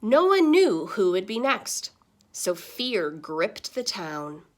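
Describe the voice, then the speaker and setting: A woman reading a children's story aloud in the style of a teacher, with dramatic pauses and stressed words. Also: medium pitch, big pitch swings.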